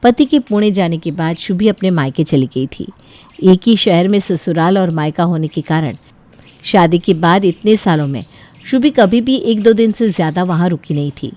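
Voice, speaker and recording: A woman's voice: on the low side, animated, normal speed, dark tone. A woman narrating a scripted family story as its storyteller.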